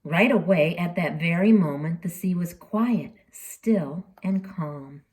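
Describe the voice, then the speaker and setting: A woman storyteller reading expressively to children, dramatic in delivery, with deliberate pauses and emphasis. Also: fairly low pitch, a little mellow.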